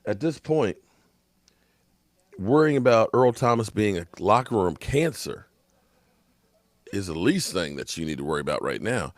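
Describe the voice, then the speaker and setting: A man giving opinionated sports commentary in an emphatic, frustrated rant, his voice hoarse and fading. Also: lowish voice, big pitch swings, moderate pace, pauses often, no echo. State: hoarse, coughing.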